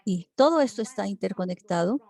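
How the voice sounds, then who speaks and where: A woman working as a simultaneous interpreter for an online seminar. She keeps a steady delivery, broken by frequent hesitation fillers. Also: medium pitch, brisk, creaky. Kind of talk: live interpretation for a webinar.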